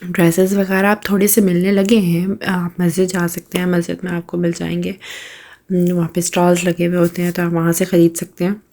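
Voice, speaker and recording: A woman in her thirties with a Pakistani Urdu accent, narrating her daily routine in a casual voice-over while hoarse from a cold, with hesitations and throat clearing. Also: fairly low pitch, completely flat delivery, brisk, dark tone. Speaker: vlogger.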